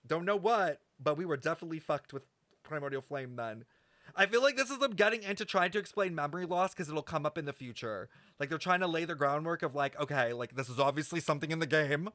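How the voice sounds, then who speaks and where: A man streaming casual game commentary, talking to chat in an animated, slangy, sweary way. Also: fairly high pitch, quick pace, dry recording. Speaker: streamer reacting to a video game. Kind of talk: casual livestream commentary and lore speculation.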